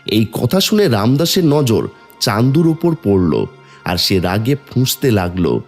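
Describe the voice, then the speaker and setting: Guy narrating a cartoon folk story, very expressive pitch, music in the background. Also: unhurried.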